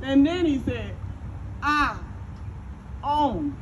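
An actress playing an enslaved woman who recounts solemnly and haltingly in an outdoor staged reading. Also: sits high, expressive, a little hiss or hum, heavily acted. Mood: solemn, bitter. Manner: slow, halting, emphatic storytelling. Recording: outdoor stage, loud crowd sounds.

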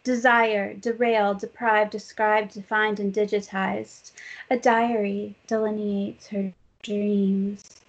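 Woman, poetry reading, measured recitation, slow pace, muffled video call sound. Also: medium pitch, little pitch movement. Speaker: poet reading own work. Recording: dull, lo-fi video call audio.

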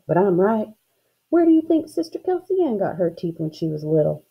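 A woman's voice: mid-range voice, sing-song, fairly quick, creaky, husky, highs cut off, full character voice. A woman voicing an ornery young country boy in a storybook, a teasing know-it-all with a matter-of-fact, folksy manner.

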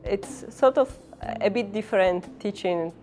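A woman's voice: medium pitch, measured pace, darkish tone, soft background music. A woman in her thirties with an Italian accent, a professor speaking hesitantly in an interview about her work. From Italy. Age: 30s. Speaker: professor and research scientist.

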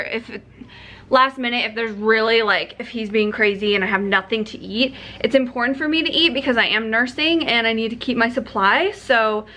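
A woman's voice: on the high side, pushed and bright. A young woman chatting casually to camera in a vlog, upbeat and full of fillers, filmed at arm's length in her car and home kitchen. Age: young adult. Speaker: vlogger. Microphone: handheld camera at arm's length.

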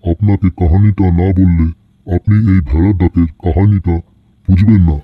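A man voicing an old male servant, gravely telling an eerie tale in a cartoon horror story. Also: low-pitched, steady tone, moderate pace, no echo, phone-quality sound. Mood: grave, ominous. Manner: grave, storytelling, Hindi-mixed Bengali wording.

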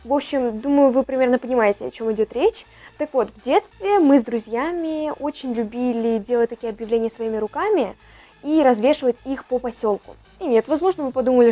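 A teenage girl chatting to camera from her bedroom on a lapel mic, lively and talking with her hands. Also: fairly high pitch, brisk, soft background music. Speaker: vlogger. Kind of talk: upbeat vlog address.

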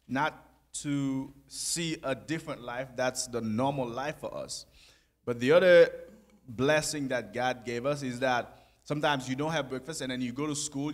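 A young man telling his life story in a church sanctuary, speaking softly into a handheld mic with pauses and easy laughs. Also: mid-range voice. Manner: soft-spoken, halting, laughs easily. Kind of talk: conversational testimony.

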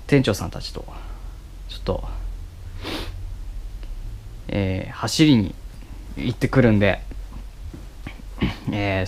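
Slow, halting man in a garage. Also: fairly low pitch, slight background noise. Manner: slow, halting, many fillers, mutters to himself while working. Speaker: motovlogger. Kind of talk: casual how-to commentary while working. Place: cluttered garage indoors. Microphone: head-mounted action camera.

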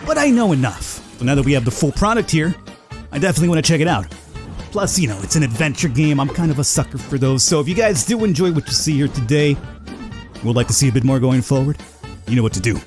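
A man's voice: a little high, animated, fast talker, a little mellow, faint music behind. A man giving casual commentary as host of a gaming video.

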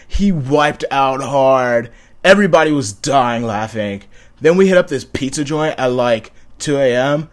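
Guy doing a frat bro, young n hyped, drawn out slangy talk, mid pitch. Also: close to an everyday voice. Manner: laid-back slangy drawn-out words.

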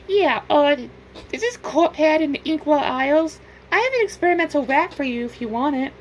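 A man voicing an eager young lab scientist in a high falsetto. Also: lively intonation, normal speed, a little hiss or hum, low-quality recording.

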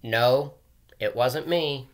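A man voicing a naughty little boy from a storybook who makes excuses, in a drawn-out, emphatic delivery. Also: mid-range voice, slight background noise. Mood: indignant denial.

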